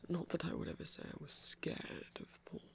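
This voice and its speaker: A man voicing a gentle trickster god in an ASMR roleplay, whispering slowly and soothingly in a breathy, creaky voice with refined, hesitant phrasing. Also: close to an everyday voice. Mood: gentle reminiscing.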